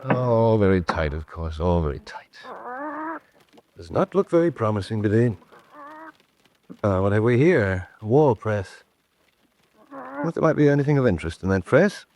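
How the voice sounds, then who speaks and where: A man's voice: lowish voice, wildly swooping pitch, moderate pace, pauses often, darkish tone. An actor playing a resourceful, wry man in a radio drama, muttering to himself as he works through a tight spot. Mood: wry, musing. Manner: muttering to himself, wry, clipped British turns of phrase. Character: resourceful trapped man muttering to his animal companion. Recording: faint background noise.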